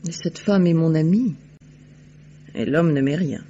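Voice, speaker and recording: An actress playing a haughty, shrewd, mercenary society woman in a vintage French radio drama, with poised, disdainful, deliberate delivery. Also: deep voice, warm tone. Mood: cold, defiant. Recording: dull, noisy old radio recording.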